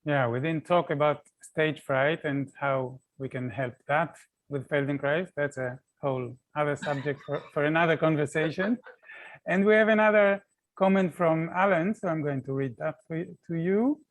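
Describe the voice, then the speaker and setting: A man hosts an online panel over a video call, his voice sitting a little high. He moves ahead thoughtfully, stumbling and repeating words before he lands on his point. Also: moderate pace, low-quality recording. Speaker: panel host.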